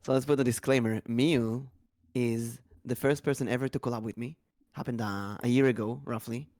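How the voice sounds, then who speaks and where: A man hosting a casual podcast, talking in a chatty, rambling way with a slightly tired, raspy voice. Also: mid-range voice, steady tone, moderate pace, a little mellow, no echo, studio quality. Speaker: podcast host.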